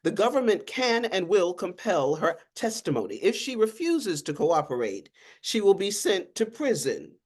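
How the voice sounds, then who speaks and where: A woman's voice: mid-range voice, very animated, moderate pace, slightly rough, voice pushed up. A woman voicing a curt, unapologetic male government official who speaks formally and insistently. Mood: curt, threatening.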